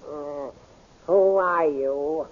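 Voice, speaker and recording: An actor playing a fussy, pompous court magician in a 1930s radio serial, hesitant and mumbling, with stammered starts. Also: male voice, on the high side, very slow. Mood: suspicious, hesitant. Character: doddering, fussy male court magician of an evil queen. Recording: dull, noisy old radio recording.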